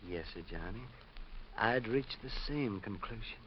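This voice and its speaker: An actor playing a fussy, meek, eager eccentric in a 1950s radio detective drama, fluttering and repeating himself. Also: male voice, on the low side, expressive, a little slow. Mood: meek, sly. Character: fussy, eager, dotty male eccentric collector, slyly meek. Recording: dull, noisy old radio recording.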